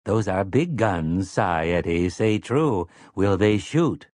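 A man's voice: low-pitched, animated, slow pace, darkish tone, dry recording, clean studio sound. A man voicing a smug, polite male robot with stiff, formal, old-fashioned phrasing in an audiobook. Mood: smug, eager. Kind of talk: audiobook character voice.

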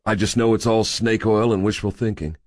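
A man's voice: fairly low pitch, flat delivery, a little fast, darkish tone, no echo, voice pushed up, lightly acted. A man voicing a cool, cynical male drifter in a dry, conversational manner.

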